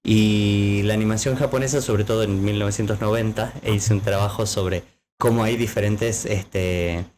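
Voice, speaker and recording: Low-voiced man explaining at length. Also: steady tone, moderate pace, a little mellow, a little hiss or hum. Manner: explanatory, lecturing, with many fillers. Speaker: podcast co-host. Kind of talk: casual conversational podcast.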